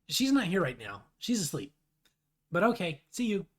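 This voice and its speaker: A man's voice: high-pitched, wildly swooping pitch, measured pace, fairly bright tone, pitched above the performer's own voice, exaggerated voice. A man voicing a playful, casual teenage boy from a webcomic, sarcastic and rambling. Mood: mock-snooty, teasing.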